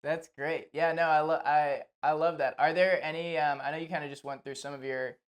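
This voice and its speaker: A man's voice: a little high, fairly even intonation, a little fast. A man hosting a casual interview, speaking in an enthusiastic, appreciative and conversational way. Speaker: interviewer, show host.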